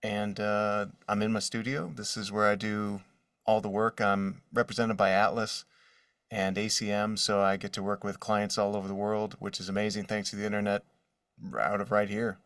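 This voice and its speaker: Man, forties, lower-pitched voice, casual animated storyteller, interview guest, very dry room. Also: moderate pace, no echo, distant mic. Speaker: guest, voice actor. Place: his own voiceover studio.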